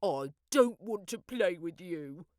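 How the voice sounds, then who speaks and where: A woman voicing excitable, bossy young dinosaurs in a children's storybook, calling out loudly like children. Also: lowish voice, slow, no room sound, clean studio sound. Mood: bossy, cross.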